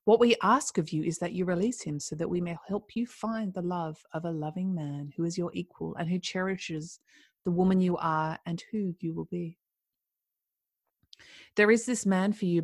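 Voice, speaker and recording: A woman voicing a gentle chorus of wise spirit guides in a hushed, soothing tone. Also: lowish voice, no echo, studio quality.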